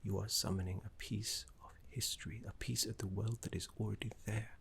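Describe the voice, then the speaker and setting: A man voicing a gentle, scholarly necromancy teacher in an ASMR roleplay, soft, breathy and half-whispered, with soothing, genteel phrasing. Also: fairly low pitch, fairly even intonation, a little fast, a little hiss or hum. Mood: reverent, hushed. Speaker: teacher guiding a student.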